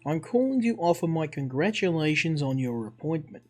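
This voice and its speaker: A man's voice: a little high, sing-song, moderate pace, soft background music. Man reading as a courteous, formal police colonel. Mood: formal, cordial. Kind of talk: visual novel dialogue read aloud.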